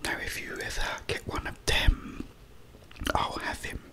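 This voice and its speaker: A middle-aged man tells personal stories in an ASMR style. He speaks in a hushed whisper, very slowly and haltingly, leaving pauses between short phrases. His delivery is unhurried throughout. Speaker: ASMR creator.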